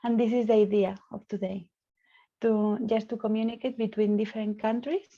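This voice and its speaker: A woman from Spain with a Spanish accent, a psychologist leading an online debate in slow, hesitant English full of fillers. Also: mid-range voice, steady tone, dark tone. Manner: slow, hesitant, frequent fillers and light laughs. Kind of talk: online discussion session. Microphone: video call. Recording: dull lo-fi call audio.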